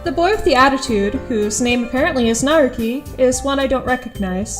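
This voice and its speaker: A woman's voice: sits high, a little fast, soft background music, natural voice. A woman playing an awkward, earnest teenage schoolgirl who narrates her thoughts in an audio drama, a little hesitant. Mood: curious, reflective.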